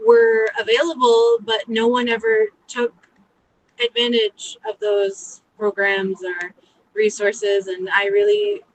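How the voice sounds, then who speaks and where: A young American woman informally introducing herself and her volunteer work to a group. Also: mid-range voice. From United States. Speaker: community volunteer, medical graduate. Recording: clearly noisy background.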